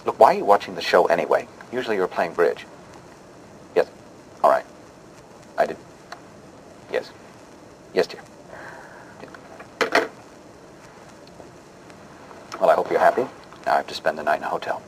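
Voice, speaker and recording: Man playing a pompous, swooping low-voiced interviewer. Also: expressive, a little hiss or hum, poor audio. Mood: sheepish. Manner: mock-dramatic announcer delivery, halting and hesitant when questioning. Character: pompous, easily flustered male television interview host. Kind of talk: scripted parody of vintage interview show.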